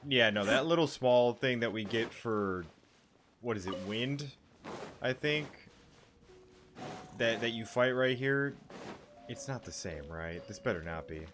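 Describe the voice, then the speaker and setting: A man's voice: mid-range voice, expressive, unhurried, long pauses, fairly bright tone. A young man giving rambling, off-hand gameplay commentary with casual swearing. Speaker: gaming streamer. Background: game music.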